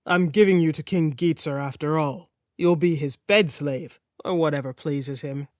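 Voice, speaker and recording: A blunt warrior prince from a historical saga, acted out by a woman narrator. He speaks gruffly and can turn evasive. The recording is dry and close, with no room around the voice. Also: low-pitched, moderate pace, darkish tone, no echo, voice pushed down, lightly acted. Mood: curt, commanding.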